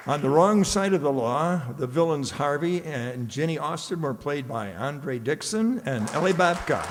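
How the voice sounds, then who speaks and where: A man with a slightly high voice reads out cast credits as an announcer. He is in a reverberant hall, with an audience clearly audible and plenty of noise. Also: lively intonation, moderate pace, crowd noise. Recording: noisy live hall with audience.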